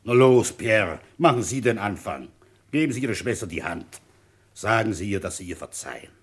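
A man's voice: fairly low pitch, lively intonation, normal speed, slightly rough. An actor in his fifties playing a dogged village police inspector in a German radio play, weary and insistent, sick with a cold. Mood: firm, conciliatory. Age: 50s. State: feverish with a heavy cold. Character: dogged, feverish French village police inspector, fifty-five. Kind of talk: German radio play acting with narrated inner monologue.

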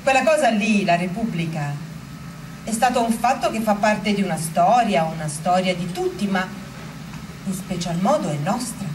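A woman telling a story theatrically, in deliberate, emphatic phrases. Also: medium pitch, lively intonation, reverberant, background noise, natural voice. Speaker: stage actor and narrator. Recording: distant microphone under loud music.

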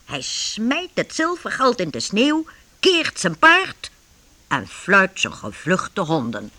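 A woman narrating a medieval adventure in a radio play, with a husky voice and expressive storytelling delivery. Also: mid-range voice, slow, dry recording, exaggerated voice. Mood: measured storytelling.

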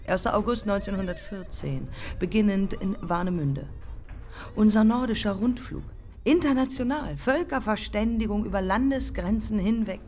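An actress playing a proud, embittered woman aviator looking back in terse, deliberate fragments, in a German radio play. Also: mid-range voice, expressive, a little mellow, soft background music, close to an everyday voice. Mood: intent, clipped. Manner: terse, deliberate, pausing between short phrases.

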